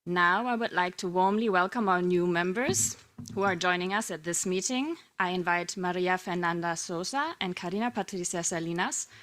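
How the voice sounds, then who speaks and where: Woman co-chair, formal and measured, running a board meeting. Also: fairly low pitch, a bit bright. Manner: measured, formal, reads prepared remarks.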